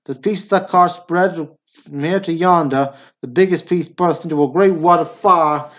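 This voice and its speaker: A man acts out an awkward, nervous small-town fellow in a radio drama. His voice sits high, and he sounds earnest, pleading and easily worked up. The recording is a little dull and lo-fi. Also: normal speed, a bit bright, lightly acted. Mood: agitated confession. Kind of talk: stage-play acting in radio drama.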